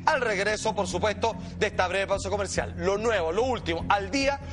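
A man with a Chilean accent presenting and commenting excitedly as a TV show host, emphatic, dramatic and repetitive. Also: high voice, fairly quick. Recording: loud music bed under voice.

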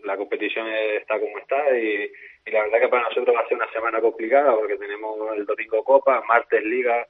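Canarian footballer on a tinny phone line. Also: male voice, fairly low pitch, steady tone, quick pace, breathy edge. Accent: Canarian Spanish, a little softened. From Canary Islands. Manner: hesitant, repeats words, many fillers and tag questions. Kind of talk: phone interview. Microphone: telephone.

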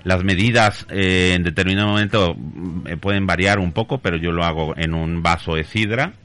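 Deep-voiced man in interview. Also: moderate pace, fairly bright tone. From Mexico. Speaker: craftsman interviewed as guest. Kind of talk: casual explanatory interview talk.

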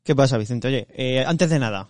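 A man's voice: mid-range voice, a little fast, a little mellow. A man with a Spanish accent co-hosting a finance podcast, chatty and colloquial with wry humour. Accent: Spanish from Spain. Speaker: podcast co-host. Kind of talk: casual chat.

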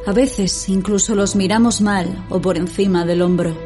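A woman's voice: mid-range voice, steady tone, normal speed, dark tone, soft background music, natural voice. A woman playing an opinionated fictional character in an audio drama. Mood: solemn, earnest. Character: opinionated female fictional character, partner of her writer.

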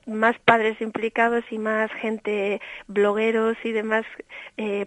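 A woman's voice: medium pitch, dry recording, rough recording. A woman raised in Australia, director of an early stimulation center, explaining her methods in a radio interview with a patient, teacherly manner. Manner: explanatory, warm teacherly tone with diminutives.

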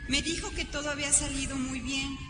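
An actress with a Mexican accent playing a cheerful visiting sister in a radio drama. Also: female voice, high voice, little pitch movement, brisk, close to an everyday voice. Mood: congratulatory, puzzled. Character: cheerful sister visiting her brother in hospital. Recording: echoey, long reverb, loud music behind.

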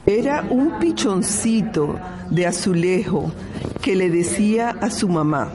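A woman narrating a children's story in an amateur radio play, in a slow, careful storybook manner. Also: medium pitch, lively intonation, over music.

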